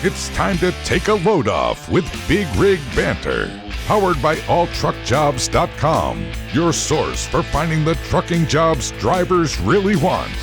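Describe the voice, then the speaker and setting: A man's voice: a little high, huge pitch swings, unhurried, few pauses. A man reading a scripted podcast intro as an announcer, with music playing. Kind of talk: scripted podcast promo narration. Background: plucked guitar music.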